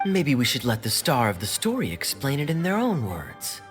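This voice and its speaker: A man voicing a suave young knight in a video game, teasing and wry, with a smooth-talking delivery. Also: mid-range voice, huge pitch swings, normal speed, a bit bright, in a large hall, faint music behind. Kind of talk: animated video game voice acting.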